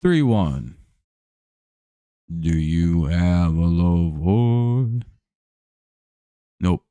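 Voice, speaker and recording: A man's voice: low-pitched, animated, drawn-out words, mellow, smooth, dry recording. Laid-back man calling a stream game. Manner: laid-back, jokey, chats with viewers between plays. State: voice worn from talking. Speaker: live stream host. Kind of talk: casual play-by-play and chat.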